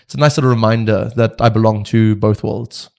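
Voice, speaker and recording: A young man from South Africa, a podcast guest, talking in a relaxed, reflective way with frequent fillers. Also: lowish voice, fairly quick, gravelly. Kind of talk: casual podcast conversation.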